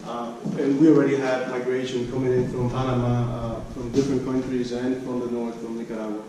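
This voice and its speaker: A man presenting research at an academic conference, speaking haltingly and often repeating and restarting his phrases. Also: medium pitch, level tone, dark tone. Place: conference room. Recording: echoey room, distant mic, background noise.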